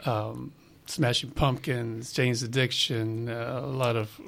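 A man's voice: mid-range voice, takes it slowly, creaky. Laid back musician guy from LA, mid voice, rambly radio chat. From Los Angeles. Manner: relaxed, rambling, many fillers. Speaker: musician guest. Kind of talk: casual interview answers.

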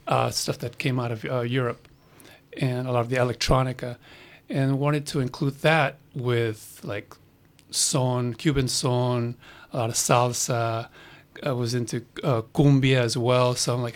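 A man from Los Angeles, a musician guest in a casual radio interview, talking in an easygoing, rambling way with many fillers. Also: mid-range voice.

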